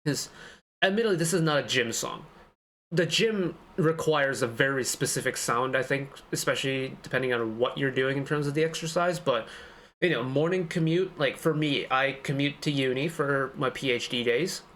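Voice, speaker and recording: A man's voice: mid-range voice, moderate pace, a bit bright, slight background noise. A young man giving casual, analytical commentary as the host of a music reaction video, talking in a chatty way. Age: young adult.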